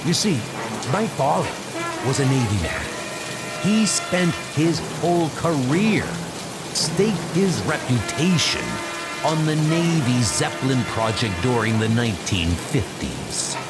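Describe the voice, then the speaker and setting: Colonel villain, acted by a man: bitter, pompous schemer, slow and swooping, loud music underneath. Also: mid-range voice, expressive. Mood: brooding, menacing. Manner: pompous, theatrical villain monologue. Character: Colonel Blimp, bitter scheming male villain seeking revenge. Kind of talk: comic dub acting.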